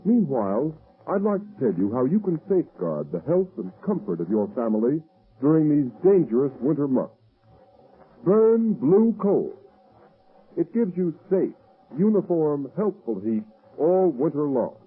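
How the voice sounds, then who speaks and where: A man's voice: on the high side, very animated, measured pace, husky. A man announcing in dramatic, emphatic 1930s radio style, as for a show and its sponsor. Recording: dull, muffled old radio recording.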